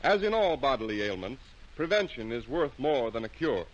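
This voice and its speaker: A man with an American accent reading scripted sponsor announcements in a formal, measured, carefully enunciated 1940s radio style, on a muffled vintage broadcast. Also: mid-range voice, very animated.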